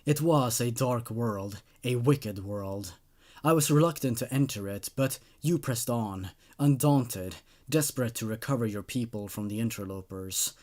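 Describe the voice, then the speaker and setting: A man voicing a mournful, devoted captive from another realm, narrating a horror story in a solemn, deliberate way with hushed phrase endings. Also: medium pitch, lightly acted. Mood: grave.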